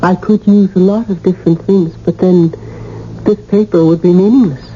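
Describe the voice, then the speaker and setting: Woman playing a sly, dark-voiced seductive witch. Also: fairly low pitch, fairly even intonation, normal speed, natural voice. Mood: cool, tempting. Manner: coolly teasing, evasive. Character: sly, seductive female voodoo witch, tempting and evasive. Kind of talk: 1980 radio drama acting. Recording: dull, noisy old radio broadcast recording.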